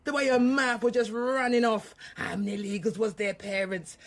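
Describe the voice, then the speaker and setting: A woman's voice: fairly high pitch, flat delivery, normal speed, lightly acted. An actress as a defiant, grieving mother. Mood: bitter, scornful. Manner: defiant, colloquial, ranting. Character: angry, grieving mother under police questioning, ex-prisoner. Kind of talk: naturalistic radio drama acting.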